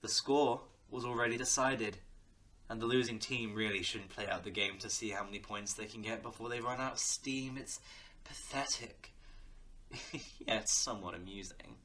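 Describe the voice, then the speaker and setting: A man's voice: on the low side, fairly even intonation, moderate pace, pushed and bright. A man playing a smug, sadistic crime boss in an audio drama, taunting theatrically and slipping into menacing whispers. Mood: smug menace. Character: smug, sadistic male crime-boss villain who threatens softly. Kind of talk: audio roleplay drama acting.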